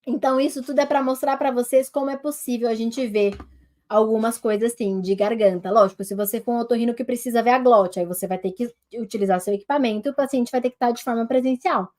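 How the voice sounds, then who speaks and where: A woman's voice: on the high side, fast talker. Congested, nasal Brazilian woman doctor teaching. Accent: Brazilian Portuguese. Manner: lively, addresses viewers directly as a group. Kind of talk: online medical lesson, demonstrating on herself. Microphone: webcam.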